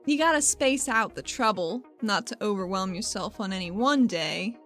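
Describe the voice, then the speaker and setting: A woman's voice: medium pitch, animated, moderate pace, fairly bright tone, soft background music, close to an everyday voice. A woman playing a wry, stubborn adventurer in a tabletop game, talking in a casual, slangy way. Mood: wry, playful.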